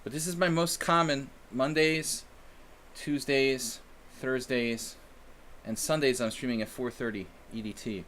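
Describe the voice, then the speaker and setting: A man's voice: medium pitch, steady tone, a little slow, a bit bright, slight background noise. Chatty American man streaming chess. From United States. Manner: chatty, rambling, self-deprecating. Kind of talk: casual live-stream chat.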